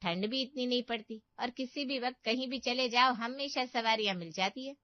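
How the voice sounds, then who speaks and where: A woman's voice: on the high side, normal speed, no echo, lightly acted. A woman voicing a boastful, fault-finding elder aunt in a Hindi cartoon family story, with a scolding, exclamatory manner. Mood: scolding, complaining. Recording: dull, muffled studio voice track.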